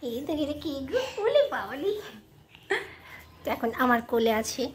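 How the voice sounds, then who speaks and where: A woman's voice: high-pitched, very animated, normal speed, crowd noise. A woman chatting casually as a vlogger in a noisy home video recording. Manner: cooing baby talk to an infant. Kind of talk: casual vlog chat.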